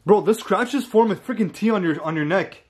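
Alarmed, high-voiced young man, "bro". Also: fairly quick, darkish tone. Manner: alarmed, urgent, repeats "bro" and "chill" constantly. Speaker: friend filming the vlog. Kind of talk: casual reactive commentary.

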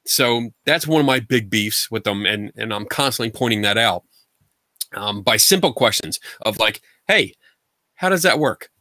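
A man's voice: fairly low pitch, normal speed, no echo, clean studio sound. A middle-aged man from the United States, a guest paranormal investigator talking bluntly and animatedly over a video call from his home. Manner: animated, gestures a lot, blunt and colloquial. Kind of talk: casual video call interview. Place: home room full of collectibles.

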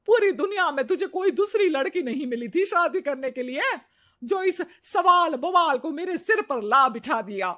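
Nagging cartoon saas, middle-aged, irritable, swoopy high voice. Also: female voice, expressive, normal speed, a bit bright. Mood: exasperated scolding. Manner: exasperated, sarcastic nagging. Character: irritable, scolding middle-aged Indian mother-in-law. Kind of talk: animated story dialogue.

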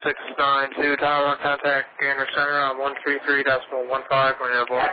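A man's voice: mid-range voice, completely flat delivery, fairly quick. A man working as an air traffic controller, giving instructions to pilots over aviation radio. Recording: muffled aviation radio transmission.

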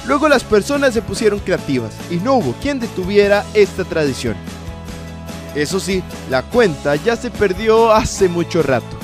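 A man's voice: high-pitched, very animated, normal speed. A young man with a Costa Rican accent narrating a scripted television feature as a reporter. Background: background music.

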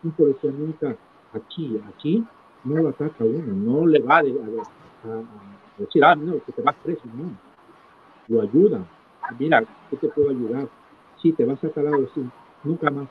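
Middle aged guy getting interviewed, telling his life story kinda haltingly, average pitch, tinny call sound. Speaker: interview guest. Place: small indoor room, video call. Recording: tinny phone-like call with clear noise.

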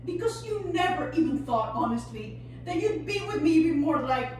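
Far from the mic in a very echoey room, a woman acts a hurt husband in a drama scene. The voice is high, with bitter, halting accusations. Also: very animated. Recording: very echoey room, distant mic, faint hiss.

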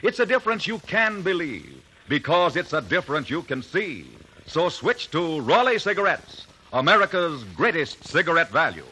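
A man's voice: a little high, big pitch swings, normal speed, few pauses, background noise. A man delivering an emphatic 1950s radio commercial in a declarative announcer style. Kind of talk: 1950s radio advertising pitch. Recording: old 1950 radio broadcast.

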